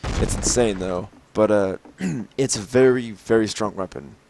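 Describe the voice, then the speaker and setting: Young male gameplay narrator, lowish and a little raspy, casual and rambling, clear game gunfire under him. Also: unhurried, noisy background. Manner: rambling, frequent fillers and throat clearing. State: slightly raspy from lack of sleep. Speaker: gameplay video host. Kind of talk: mod showcase commentary. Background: game gunfire and clicks.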